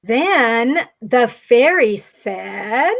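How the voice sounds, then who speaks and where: A woman's voice: on the high side, expressive, very slow, vocal fry. A woman teacher narrating a children's storybook tale from a script.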